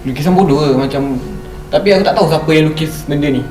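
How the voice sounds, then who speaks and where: A man's voice: on the high side, quick pace. A young man with a Malaysian accent, chatting casually as he tells ghost stories. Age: young adult. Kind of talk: casual ghost-story chat. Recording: roomy, distant mic, faint music.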